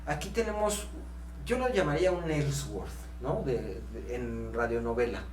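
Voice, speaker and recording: A man's voice: medium pitch, animated, measured pace. A man with a Mexican accent talking casually as a podcast co-host. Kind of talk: casual conversational podcast talk. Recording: echoey room, distant mic, faint hum.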